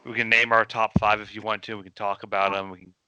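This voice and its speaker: A man's voice: lowish voice, flat delivery, quick pace, bright, forceful tone, clean tone, no echo, dull audio. A man hosting a casual podcast chat, laid-back and rambling, winging it with restarts. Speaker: podcast host.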